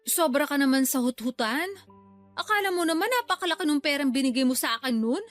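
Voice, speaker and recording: A woman's voice: high voice, lively intonation, brisk, faint music behind. A woman acting a defensive, wheedling older sister and new grandmother in a radio drama, proud and unapologetic. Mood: indignant. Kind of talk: radio drama dialogue in colloquial Tagalog.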